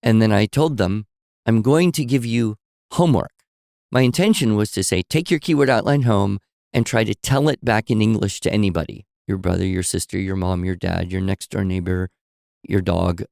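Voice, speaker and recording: A man co-hosting a podcast, telling stories in a relaxed conversational way and explaining with examples and asides. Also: lowish voice, moderate pace, gravelly, no echo, clean studio sound. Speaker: podcast co-host. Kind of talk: conversational storytelling.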